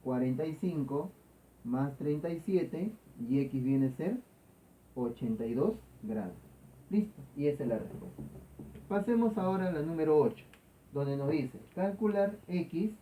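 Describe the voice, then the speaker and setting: A man's voice: fairly high pitch, expressive, measured pace, dark tone, poor audio. A male teacher talks through a math exercise step by step over faint background noise. His delivery is didactic, punctuated by short questions to check that the learner follows. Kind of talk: step-by-step tutorial explanation.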